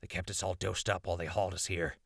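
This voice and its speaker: Casting: male actor as captured wasteland radio host, low husky voice, urgent, plain-spoken and stubbornly hopeful, for audio drama. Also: little pitch movement, fast talker, a bit bright, dry recording, clean studio sound, exaggerated voice. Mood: grim explaining. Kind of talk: post-apocalyptic audio drama acting.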